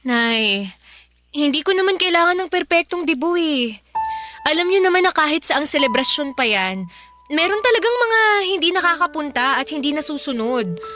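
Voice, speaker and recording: An actress playing a gentle, sensible Filipino teenage daughter in a radio drama, earnest and soothing. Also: female voice, sits high, lively intonation, normal speed, soft background music. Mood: pleading.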